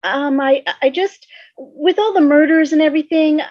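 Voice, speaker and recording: A woman playing a troubled, guarded teenage girl who speaks haltingly in a drama table read, on slightly dull call audio. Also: high voice, dry recording, close to an everyday voice. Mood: hesitant, uneasy. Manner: halting, hesitant.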